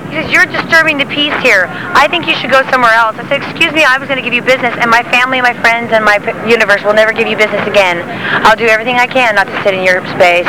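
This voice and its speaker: A woman speaks outdoors on a sidewalk over clear street noise. She rambles emphatically and indignantly, in the casual way of someone stopped for an interview. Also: fairly high pitch. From northern California, United States.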